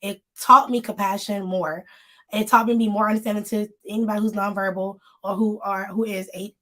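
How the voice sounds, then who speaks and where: Woman co-host, casual live chat, a bit hoarse, speaks right to the viewers. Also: medium pitch, fairly even intonation, a little fast, a bit bright. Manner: chatty, addresses viewers directly. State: slightly hoarse, voice still recovering. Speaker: magazine co-host.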